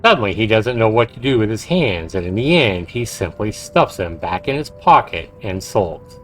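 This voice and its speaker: A man livestreaming a game, commenting and reading visual novel text aloud in an expressive, dramatic way. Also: on the low side, moderate pace, slight background noise. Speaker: game streamer.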